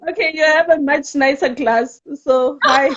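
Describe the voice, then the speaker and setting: A woman's voice: high-pitched, highs cut off, noisy background. A woman hosting a live online talk show, chatting playfully and laughing often. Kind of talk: casual live-stream chat.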